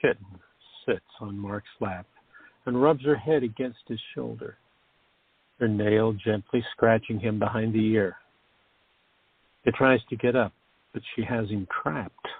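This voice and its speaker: Gravelly male radio play narrator. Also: fairly low pitch, unhurried, long pauses, dry recording. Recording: tinny, narrow phone-like sound.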